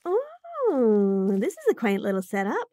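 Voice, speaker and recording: A woman's voice: on the high side, huge pitch swings, a little slow, warm tone, dry recording, clean studio sound. A woman voicing a bratty, sassy bunny-girl chef in a fantasy roleplay audio, teasing and talking straight to the listener. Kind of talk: F4M roleplay audio, acted monologue.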